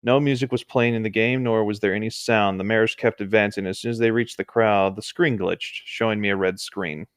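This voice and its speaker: A man with a somewhat low voice narrates a creepy story as a co-host, dropping into casual remarks. He is recorded close and dry, with no room sound. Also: fairly even intonation, brisk, few pauses, smooth, dry recording. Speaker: co-host reading video game creepypastas. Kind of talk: story reading with casual asides.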